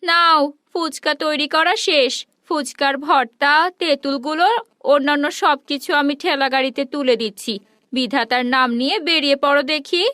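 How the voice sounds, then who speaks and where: Cartoon casting: female actor as fretful, nagging poor housewife, high voice, beseeching delivery. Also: normal speed, sharp tone, dry recording. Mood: resigned. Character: worried, nagging wife and mother in a poor village. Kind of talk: cartoon dubbing.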